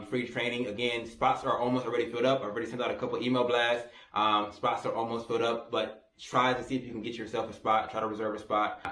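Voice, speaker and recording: Fast-talking man in an echoey room. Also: fairly bright tone. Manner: rambling, repeats words and restarts, persuasive pitch. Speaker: vlogger. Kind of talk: casual to-camera commentary. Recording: roomy echo.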